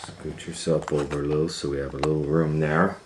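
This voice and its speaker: A man's voice: rumbling low, level tone, brisk, background noise. A man hosting storytime, telling a children's story informally with hesitant, conversational asides. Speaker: storytime host and reader.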